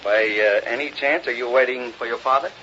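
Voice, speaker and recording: An actor playing a brash, nosy American newspaper reporter in a radio drama, breezy and slangy, with an American accent on a dull old recording. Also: male voice, mid-range voice, a little fast, bright, forceful tone, a little hiss or hum, natural voice. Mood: breezy, prying.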